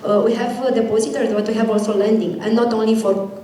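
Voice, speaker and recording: A woman speaking as a bank executive on a conference panel, halting and searching for words. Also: a little high, fairly even intonation, dark tone. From Romania. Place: conference stage. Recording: echoey conference hall.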